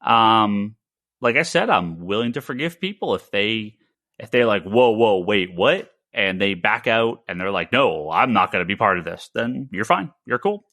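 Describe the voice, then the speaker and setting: Animated, low-voiced American man, bright and emphatic. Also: moderate pace, dry recording, clean studio sound. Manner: emphatic, stammering with repeated words. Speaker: podcast host; comic shop retailer. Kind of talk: opinionated casual podcast discussion.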